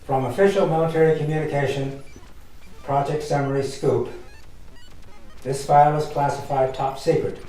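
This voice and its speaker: A man's voice: a little high, steady tone, normal speed, pauses often, warm tone, lightly acted. A man acting as an impersonal announcer who reads a military file formally, heard from a distance in a very echoey space. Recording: very echoey, distant, faint music.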